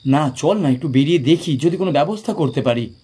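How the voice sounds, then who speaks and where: Man playing a very husky, animated young guy. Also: on the high side, fairly quick. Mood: restless, eager. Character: young man, Raktim, blunt, practical friend on a night trip. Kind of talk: audio drama dialogue in a Bengali ghost story.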